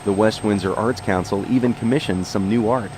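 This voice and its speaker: A man giving scripted news-feature narration, even and smooth. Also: fairly low pitch, fairly quick, noisy background.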